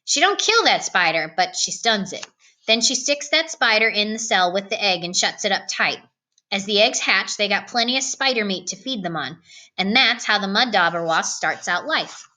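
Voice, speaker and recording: Overgrown country schoolboy for a storybook, voiced by a woman: rustic, unflappable, deadpan, clear forward tone. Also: mid-range voice, moderate pace. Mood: folksy explaining. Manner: folksy, deadpan, rustic grammar.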